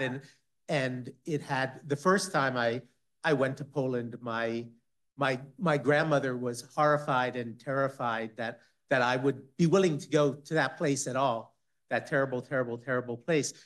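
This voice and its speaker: A man on a discussion panel, recounting a personal story in a halting way with many restarts. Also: medium pitch, a bit bright. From Israel-based.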